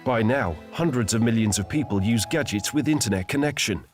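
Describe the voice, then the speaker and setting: A man reads documentary narration in a steady, explanatory way at a middle pitch. His studio voice-over sits over a clear music bed. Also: a little fast. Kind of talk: scripted narration.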